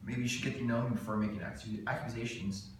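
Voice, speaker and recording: A man's voice: medium pitch, steady tone, fast talker, close to an everyday voice. Brief: man reading cocky late-twenties charmer, smug come-ons, roomy distant sound. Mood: flirty. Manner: flirtatious, smug. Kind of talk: table read. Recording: echoey room, mic far off, faint noise.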